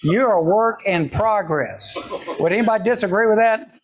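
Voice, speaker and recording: A man's voice: sits high, normal speed. A man speaking casually to a men's meeting, teasing the group and asking them questions before starting a video. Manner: chatty, teasing, asks the room questions. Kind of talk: casual joking talk to a live group.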